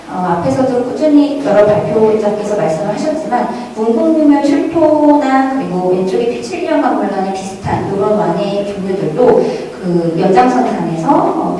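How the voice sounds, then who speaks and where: A woman presenting academic research at a conference in a measured, formal manner, reading from prepared notes. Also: medium pitch, warm tone. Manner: measured, formal scholarly delivery. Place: large echoey lecture hall at a conference. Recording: very echoey hall, distant mic, faint noise.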